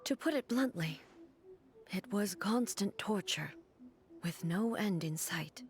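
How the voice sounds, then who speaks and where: A woman playing a dignified, earnest ruling deity in a video game, speaking in a formal, measured way. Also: mid-range voice, expressive. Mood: grave. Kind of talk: scripted video game voice acting. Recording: music behind the voice. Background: game music.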